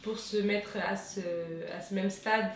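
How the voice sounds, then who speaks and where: Measured woman, distant and echoey. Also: medium pitch, little pitch movement, slight background noise. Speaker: student giving testimony. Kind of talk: casual conversational testimony. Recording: very echoey room, distant mic.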